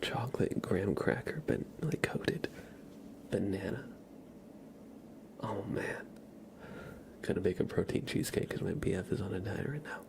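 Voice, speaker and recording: A man streaming ASMR, chatting casually to viewers in a soft, breathy whisper with a slightly stuffy nose. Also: low-pitched, expressive, moderate pace, long pauses. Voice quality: breathy whisper with a creaky edge. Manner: soft-spoken, rambling, casual.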